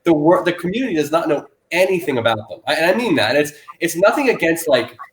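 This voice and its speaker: A man hosting an animal rescue live stream, talking loudly in a rambling way full of restarts and fillers. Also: on the high side, very animated, quick pace, reverberant, slight background noise, poor audio. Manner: loud, self-described loud person. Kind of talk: casual live-stream interview.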